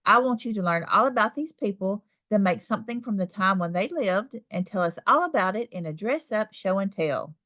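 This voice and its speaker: A woman's voice: mid-range voice, expressive, brisk, hardly any pauses, fairly bright tone, dry recording, studio quality, close to an everyday voice. A woman voicing a kindly, encouraging primary school teacher in a storybook reading.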